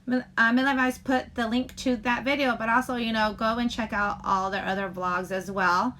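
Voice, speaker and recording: A woman hosting a casual live chat, rambling to viewers in a halting way, often breaking off mid-sentence. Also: on the high side, fairly even intonation, bright, forceful tone, slight background noise. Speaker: live stream host chatting with viewers.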